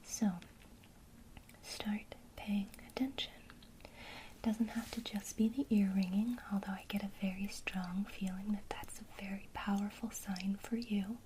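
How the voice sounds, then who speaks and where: A woman whispering an ASMR witchcraft lesson, gently encouraging a listener she addresses as her student. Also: mid-range voice, fairly even intonation, noisy background. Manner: speaks to the listener as a student, pausing for imagined replies.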